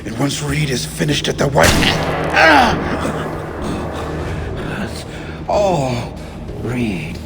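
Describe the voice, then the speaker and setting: An actor playing a smug, defiant male villain under interrogation, taunting and condescending in a gravelly voice. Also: a little high, huge pitch swings, drawn-out words, pauses often, full character voice. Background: music.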